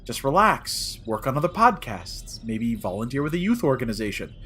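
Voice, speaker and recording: Man playing a bright-voiced, weary, reluctant adventurer. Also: mid-range voice, very animated, normal speed, a little hiss or hum. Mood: hesitant, sheepish. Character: weary, reluctant bachelor adventurer, evasive but stubborn. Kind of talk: audio comedy drama.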